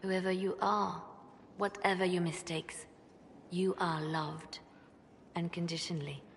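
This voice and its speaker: A devout reformist churchwoman from a fantasy game , acted by a woman. She speaks with gentle, earnest conviction, like a measured orator with impassioned ideals. Her voice rings with long hall reverberation. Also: mid-range voice, animated, a little hiss or hum, close to an everyday voice. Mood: impassioned, tender. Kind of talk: dramatic video game dialogue.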